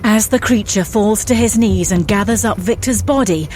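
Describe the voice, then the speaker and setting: A woman narrates an audio drama from a script. Her pitch is medium, and there is faint noise behind her. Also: normal speed, darkish tone.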